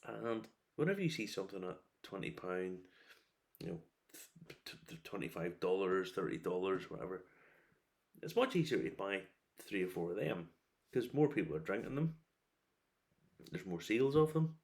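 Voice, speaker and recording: A middle-aged man giving a casual, rambling review, opinionated and wry, breaking into animated asides. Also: low-pitched, moderate pace, gravelly. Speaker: whiskey reviewer.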